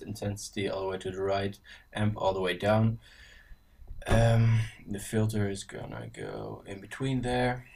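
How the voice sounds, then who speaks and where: Dutch man with a low, casual voice. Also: a little mellow, far from the mic. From Netherlands. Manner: casual, halting, many ums and restarts. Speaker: synth tutorial presenter. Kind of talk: screen-recorded software tutorial. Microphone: voice-over on screen recording.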